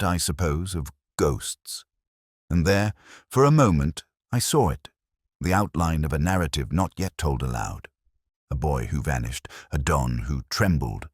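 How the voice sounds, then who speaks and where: Very deep, husky brooding detective played by a man. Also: expressive, dry recording, studio quality, heavily acted. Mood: brooding, reflective. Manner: measured, solemn, reflective delivery. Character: brooding, world-weary male police detective recalling a case. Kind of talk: literary first-person scripted narration.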